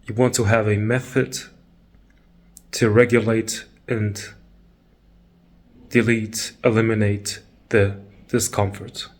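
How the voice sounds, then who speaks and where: A man leading a guided meditation talk, calm and measured, pausing between short phrases. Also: on the low side.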